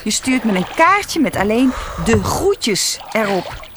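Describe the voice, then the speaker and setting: An actress playing a hurt, reproachful sister who stays at home, clipped in a Dutch radio play. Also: female voice, a little high, sing-song, takes it slowly, background noise. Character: adult sister, bitter and hurt homebody. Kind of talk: radio drama acting.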